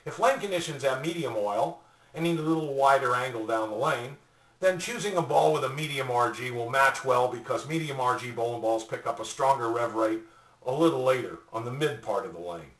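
An older man giving a scripted product explainer in a deliberate, formally worded way. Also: mid-range voice, a bit bright. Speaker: presenter. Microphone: webcam a little way off. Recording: roomy echo, distant mic.

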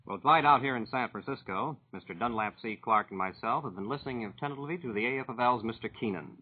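American male radio reporter, brisk interviewer, husky, thin vintage line sound. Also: medium pitch. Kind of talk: 1940s broadcast interviewing. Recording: tinny narrowband 1940s radio line.

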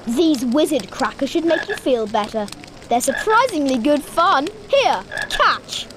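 An actress voicing a spiteful young schoolboy bully in a video game, taunting and smug. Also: female voice, high-pitched, very animated, a little slow, background noise. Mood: mocking. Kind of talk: video game character acting.

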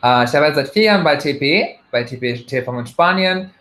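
A man's voice: a little high, animated, a little fast, fairly bright tone. A man in his thirties from Germany gives a casual recruitment testimonial over a laptop webcam, hesitating often with fillers and restarts. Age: 30s. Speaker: employee giving a testimonial. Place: bright room at home, indoors. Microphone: laptop webcam, video call. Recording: echoey room, laptop mic.

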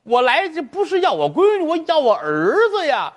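A man voicing an elderly mother who pleads in court, crying out her lament in a drawn-out sing-song. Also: very high-pitched, wildly swooping pitch, voice pushed up, exaggerated voice. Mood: pleading. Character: wailing grief-stricken old mother pleading in court.

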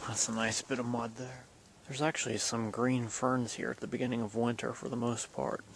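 A man's voice: medium pitch, little pitch movement, moderate pace, vocal fry. Man narrating a hike, noisy outdoor audio. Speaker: vlogger. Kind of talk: casual hiking vlog commentary. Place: outdoors on a wooded trail. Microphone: handheld camera, held by the speaker.

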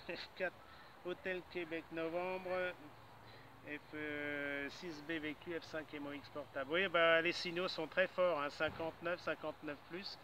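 An older man chatting casually as an amateur radio operator outdoors, punctuating his phrases with little tag words. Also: fairly high pitch. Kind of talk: casual ham radio exchange. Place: outdoors in a grassy park. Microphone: camera a few metres away, holding radio hand mic. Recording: outdoor noise behind voice.

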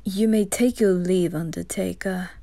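A woman voicing a reserved, haughty young noble boy in an anime fan roleplay, terse and hushed. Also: fairly low pitch, fairly even intonation, measured pace. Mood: guarded.

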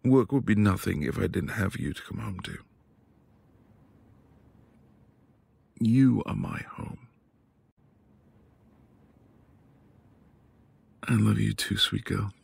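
A man acting as a devoted, flirtatious husband in an intimate ASMR roleplay, breathy and affectionate, often dropping toward a whisper. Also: on the low side, expressive, heavily acted. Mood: tender, sincere.

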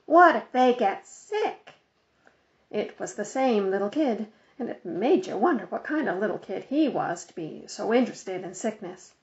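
A woman reading a children's book aloud as an expressive storyteller, on a slightly dull recording. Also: mid-range voice, normal speed, few pauses. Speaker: audiobook reader.

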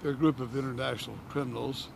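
An older man answers questions outdoors in a slow, halting, blunt manner. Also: mid-range voice, little pitch movement, gravelly. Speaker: interviewee, longtime observer. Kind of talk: outdoor street interview. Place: outdoors by a brick building. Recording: outdoor noise behind.

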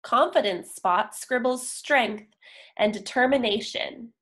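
A young adult woman reading a story aloud for young children, enunciating deliberately with pauses between words. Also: a little high, fairly bright tone. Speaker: children's picture book reader. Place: indoor room, on a video call.

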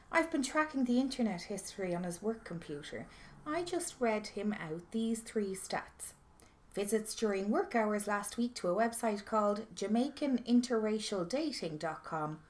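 Woman acting office manager, female, bossy, dry, deadpan, medium pitch, audio drama. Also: measured pace, a little hiss or hum, natural voice.

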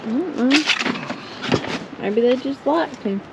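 A woman's voice: high voice, lively intonation, slow pace, gravelly, muffled recording. A woman chatting casually in brief, offhand remarks as she comments from behind the camera. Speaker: storage unit reseller and co-host. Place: outdoors at an open storage unit. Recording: very noisy outdoor camera audio, clicks.

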